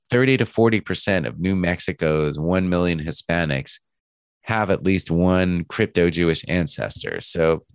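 A hoarse man with a cold, hosting a podcast from his home studio, talking in an earnest, thoughtful way with frequent restarts. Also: sits low, dry recording. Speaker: podcast host. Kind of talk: podcast introduction.

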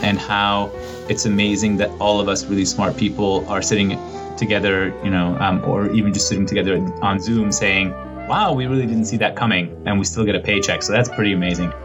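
Low male guest from Hungary, wry and self-deprecating, music under the voice. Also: steady tone, brisk, muffled recording. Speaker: lecturer in European studies. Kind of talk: podcast conversation.

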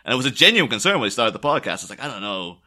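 Bright, forceful Irish man chatting. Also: mid-range voice, very animated, rapid-fire. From Ireland. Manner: enunciates carefully for listeners. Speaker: podcast co-host. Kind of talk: casual chat.

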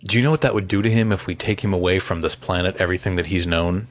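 Guy cohosting a fan podcast, lowish voice, earnest casual chat, kinda muffled lo-fi sound. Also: quick pace. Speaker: podcast co-host. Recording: slightly dull, lo-fi audio.

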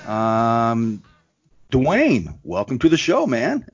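A man hosting an interview podcast, talking in a relaxed, laid-back way with plenty of fillers. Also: medium pitch, drawn-out words, highs cut off, faint music behind. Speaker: podcast host.

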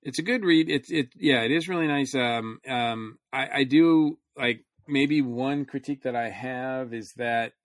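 A man's voice: medium pitch, a little slow, few pauses, no room sound, studio quality. A man in casual, rambling conversation as a panelist on a review podcast.

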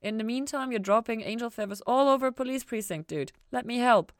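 A woman voicing a bold, curious young woman in an audiobook story, informal and cheeky but warm-hearted. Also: fairly high pitch, a little fast, no echo, clean studio sound, voice pushed up, natural voice. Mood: tender, stubborn.

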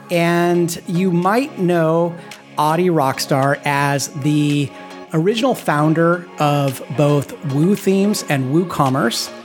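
A man hosting a podcast about independent work, interviewing a guest in a friendly, admiring way. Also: fairly high pitch. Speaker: podcast host.

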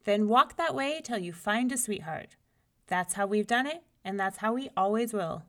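A woman's voice: medium pitch, expressive, moderate pace, fairly bright tone, close to an everyday voice. Woman playing a firm storybook farmer father. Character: traditional, firm farmer father of grown sons. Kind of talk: children's storybook character voice.

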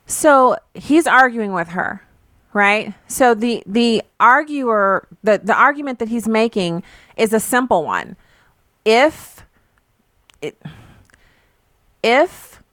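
A woman giving informal political commentary in an opinionated, deliberate way with emphatic phrasing. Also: medium pitch, a bit bright, no room sound, clean studio sound.

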